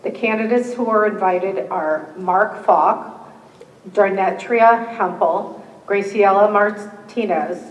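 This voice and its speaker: Hoarse woman moderating a forum in an echoey hall. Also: medium pitch, slow pace. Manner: friendly, joking asides to the audience. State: slightly hoarse, losing her voice. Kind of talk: reading scripted opening rules with casual asides. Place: meeting room with a live audience. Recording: echoey hall, distant mic.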